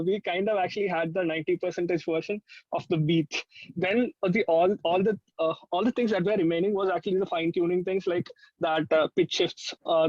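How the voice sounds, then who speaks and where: High-pitched young Indian man, casual chat. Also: darkish tone, no echo. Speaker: musician, interview guest. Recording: dry but slightly muffled audio.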